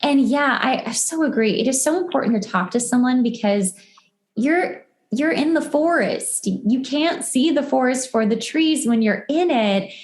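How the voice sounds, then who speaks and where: A woman's voice: high voice, animated, moderate pace. A woman talking casually and informally on a podcast as host, peppering her talk with "like" and acted-out asides. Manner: informal, heavy filler "like", self-quoting asides. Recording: roomy, echoey sound.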